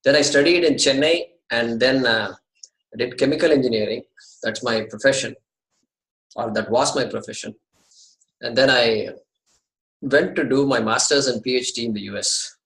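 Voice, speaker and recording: Brief: Indian man in his fifties, courteous teacher on a video call, mid pitch, roomy echo. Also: normal speed, long pauses, a bit bright. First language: Tamil. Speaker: Sanskrit teacher. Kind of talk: online class orientation talk. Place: his apartment. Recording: echoey room over video call.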